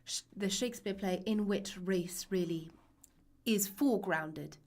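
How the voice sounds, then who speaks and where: A young woman teaching a live online lesson, her voice raspy and hoarse from a cold, chatty and reassuring with frequent ums. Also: mid-range voice, measured pace, a little mellow. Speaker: literature teacher.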